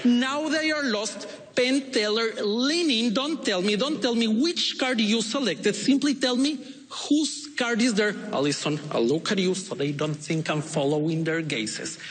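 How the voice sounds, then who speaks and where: A man's voice: sits high, measured pace, few pauses, fairly bright tone, big-room sound. A man from Ecuador with an Ecuadorian Spanish accent, performing as a magician on a TV stage with playful, coaxing showman's patter. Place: TV studio stage before an audience.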